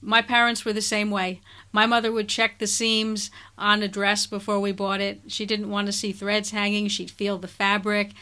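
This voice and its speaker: A woman hosting a podcast interview, speaking in a measured, thoughtful way. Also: mid-range voice, completely flat delivery, pushed and bright, clear, resonant tone. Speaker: podcast host. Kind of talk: conversational interview.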